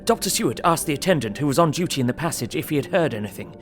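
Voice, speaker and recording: An actor playing a grave, troubled young husband, reading out his diary in a measured way over music. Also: a little high, expressive, natural voice. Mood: sombre. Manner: sombre, measured. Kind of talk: audio drama diary narration. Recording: music under the voice.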